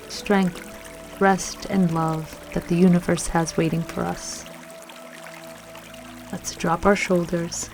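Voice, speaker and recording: A woman leading a scripted guided meditation with affirmations in a gentle, soothing manner, leaving long pauses between phrases. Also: lowish voice. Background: music and trickling water.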